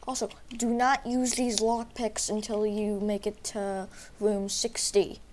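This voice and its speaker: A woman's voice: mid-range voice, level tone, a little slow, darkish tone, slight background noise. A young woman who is sick, talking through a game walkthrough in a rambling, hesitant way with lots of fillers. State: sick, voice a little rough. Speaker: gaming YouTuber. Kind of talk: casual gameplay walkthrough.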